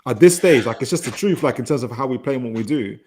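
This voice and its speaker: A young man on a video call, arguing football fast and hard, repeating himself to press his point home. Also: medium pitch, animated, a little mellow, a little hiss or hum. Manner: rapid, argumentative, repeats points to press them. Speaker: football podcast panelist. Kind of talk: heated casual debate.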